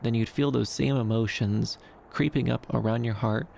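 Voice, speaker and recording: A man playing a shy, gentle young dream fox companion, speaking softly and hesitantly in a soothing ASMR roleplay. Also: mid-range voice, steady tone, normal speed, creaky, slight background noise. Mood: soothing, pensive. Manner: soft-spoken, bashful, hesitant, soothing. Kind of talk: ASMR roleplay, soft comforting monologue.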